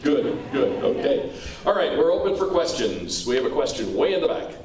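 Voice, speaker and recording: A man's voice: a little high, sing-song, normal speed, darkish tone. A man moderating a question session with an audience in a theater. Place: theater, before a live audience. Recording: roomy hall, distant mic, faint crowd noise.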